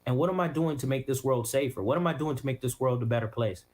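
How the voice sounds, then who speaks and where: Impassioned young American man, medium pitch. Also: fast talker, darkish tone. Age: young adult. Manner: impassioned, rhetorical repetition. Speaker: elected prosecutor being interviewed. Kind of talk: interview answer.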